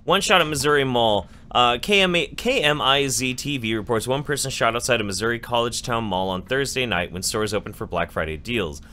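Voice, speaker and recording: A man's voice: medium pitch, fairly quick, few pauses, sharp tone. A man hosting a podcast, reading donation messages aloud and joking sarcastically. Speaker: podcast host.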